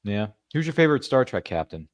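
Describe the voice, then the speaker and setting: A man's voice: mid-range voice, sing-song, fast talker, no room sound, studio quality. A man hosting a podcast interview, chatting casually and rambling with playful asides. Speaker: podcast host.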